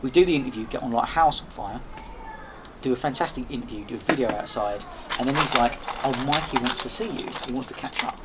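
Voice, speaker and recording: A man tells stories at a quick clip, animated and rambling, often restarting his sentences. His voice sits at a middle pitch. Steady background noise runs under the recording. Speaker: podcast host and guest. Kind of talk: casual storytelling chat. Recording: noisy background.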